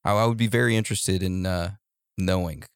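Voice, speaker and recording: A man's voice: sits low, fairly even intonation, a little fast, clear, resonant tone, dry recording, clean studio sound. A young man hosting a casual podcast, rambling and laughing easily as he answers listener messages. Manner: warm, rambling, laughs often. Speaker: podcast host.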